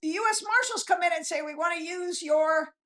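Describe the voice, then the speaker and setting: Casting: female author guest, very high and bright voice, casual animated storyteller. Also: a little fast. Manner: animated storyteller, acts out bits of dialogue. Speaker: guest novelist. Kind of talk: casual interview chat.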